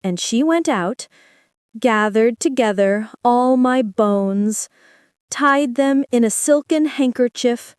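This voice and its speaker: Lady reading a bedtime fairy tale, high lively voice, super dry close mic. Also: smooth, no room sound. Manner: slow, expressive bedtime reading. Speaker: storyteller. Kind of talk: storybook read-aloud.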